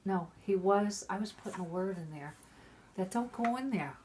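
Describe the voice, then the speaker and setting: A woman's voice: medium pitch, expressive, normal speed, darkish tone, slight background noise. A middle-aged woman, very drunk, reading a Christmas storybook poem aloud, stumbling over words and breaking off into irreverent asides. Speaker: mother reading a Christmas poem to her family.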